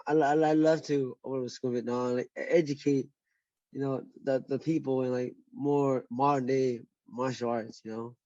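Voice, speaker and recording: A man's voice: medium pitch, steady tone, normal speed, mellow, no room sound. Man, upbeat, stumbling restarts, dry muffled lo-fi sound. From United States. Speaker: guest, former fighter. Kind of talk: casual interview chat.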